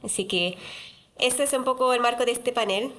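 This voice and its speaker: A woman moderating a university panel in an auditorium, halting and full of filler sounds. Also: fairly high pitch, fairly bright tone.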